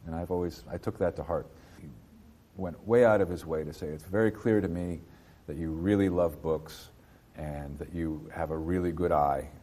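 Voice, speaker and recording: A man in his fifties from New Jersey, a bookseller being interviewed, speaking in a halting way with many fillers and restarts. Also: deep voice, fairly even intonation, warm tone. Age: 50s. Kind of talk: casual interview.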